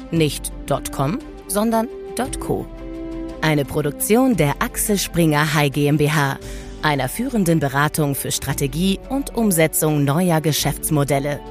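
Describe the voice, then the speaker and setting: Woman, very deep pitch, scripted podcast announcer, clear music bed behind.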